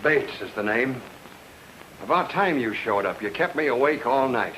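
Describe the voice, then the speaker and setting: An actor playing a gruff, suspicious police chief questioning someone in an old-time radio drama. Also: male voice, mid-range voice, expressive, moderate pace, a bit bright, close to an everyday voice, poor audio. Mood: stern, suspicious. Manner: blunt, interrogating. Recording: old radio recording with hiss.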